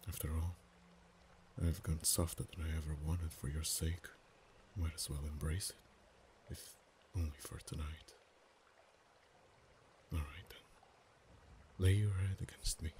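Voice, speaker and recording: A man voicing a proud, haughty male dragon in an ASMR romance roleplay, speaking softly with whispered and stammering stretches. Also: a bass voice, fairly even intonation, a little hiss or hum. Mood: tender. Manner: soft-spoken, partly whispered, halting stammers.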